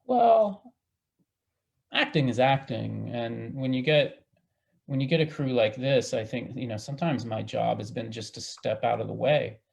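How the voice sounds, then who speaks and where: A man speaking as a theater director in a panel discussion, halting and thinking aloud as he goes. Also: mid-range voice, lively intonation, creaky. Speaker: artistic director of a radio play company. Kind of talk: panel discussion, casual talk.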